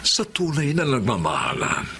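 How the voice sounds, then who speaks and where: Casting: reassuring Filipino male friend, very animated pitch, emphatic advice, radio drama. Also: normal speed, gravelly, a little hiss or hum, exaggerated voice. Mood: firm, reassuring. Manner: emphatic, advising.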